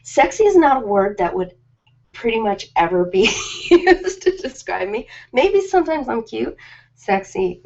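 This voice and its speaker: A woman's voice: a little high, big pitch swings, unhurried, gravelly, a little mellow. A woman chatting casually and informally on a live stream, ad-libbing in a rambling, self-deprecating way. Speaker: live-stream host of ASMR and ritual videos. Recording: slightly dull lo-fi live-stream audio.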